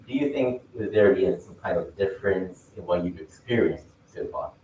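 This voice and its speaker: A man's voice: low voice, lively intonation, darkish tone, a little hiss or hum. Hesitant man. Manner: hesitant, halting, many fillers and restarts. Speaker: contest judge asking questions. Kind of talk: live question and answer at a speech contest. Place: large hall at a speech contest. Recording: echoey hall, mic at a distance.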